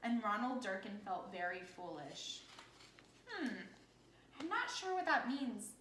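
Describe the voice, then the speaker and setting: Echoey room, woman, teacher reading aloud, bright forceful tone, expressive, encouraging, children's story. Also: fairly high pitch, a little slow. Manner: expressive, encouraging, often asking questions. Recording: echoey room with faint noise.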